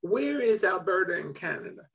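Old guy from brooklyn on a video call, kinda high voice, laughs a lot. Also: moderate pace, creaky. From Brooklyn, New York. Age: 70s. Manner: chuckles often, relaxed. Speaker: host. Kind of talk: casual video call conversation. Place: home office.

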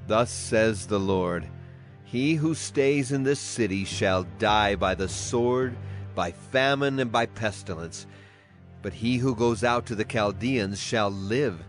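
Actor as a grave ancient prophet over music. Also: male voice, fairly low pitch, slow. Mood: solemn warning. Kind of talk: dramatized scripture reading.

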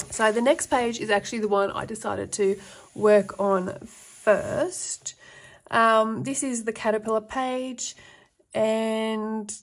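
A woman talks through an art workbook as she turns its pages, reading the printed text aloud in an even way and then adding her own tips. Her delivery is relaxed and conversational, with the odd um along the way. Her voice sits at a middle pitch. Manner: reads passages aloud, relaxed with fillers. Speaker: art book reviewer. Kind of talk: casual flip-through narration.

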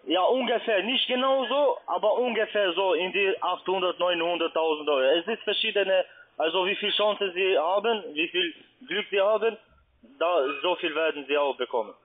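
A man with a foreign accent speaking non-native German as a call centre agent, insistent, halting and repeating himself in an unscripted sales pitch. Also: a little hiss or hum. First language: not German. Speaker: call centre agent posing as customer adviser. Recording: tinny band-limited phone line.

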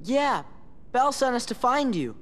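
Eager teen hero, animated. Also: male voice, very high-pitched, moderate pace, full character voice. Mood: friendly. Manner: eager, direct. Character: plucky, friendly teenage boy hero.

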